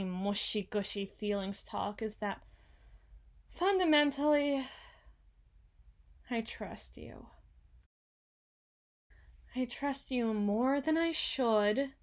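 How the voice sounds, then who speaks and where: A woman playing a frazzled, proud young female CEO in an ASMR roleplay, halting and stammering as she corrects herself. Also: on the high side, expressive. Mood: exasperated, sincere.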